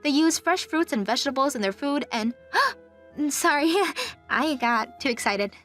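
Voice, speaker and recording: A helpful young woman travel advisor from a manga dub, acted by a woman, talks in a fairly high, courteous customer-service voice. She is eager and polite, over soft background music. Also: lively intonation, measured pace. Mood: enthusiastic. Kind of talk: English manga dub acting.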